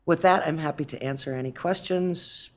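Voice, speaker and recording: A woman on city staff answering council questions at a meeting, speaking with frequent pauses and restarts. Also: deep voice. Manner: hesitant, many fillers and restarts. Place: council chamber.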